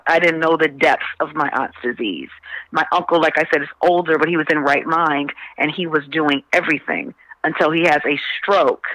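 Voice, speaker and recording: Bright, forceful man speaking earnestly. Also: on the high side, dry recording, low-quality recording. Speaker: radio show guest, stage play promoter. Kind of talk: conversational radio interview talk.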